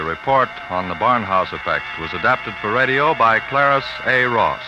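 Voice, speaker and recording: Animated male old-time radio announcer. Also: mid-range voice. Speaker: programme announcer. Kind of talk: 1950s radio announcing, scripted credits. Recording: dull vintage radio broadcast recording. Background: loud music.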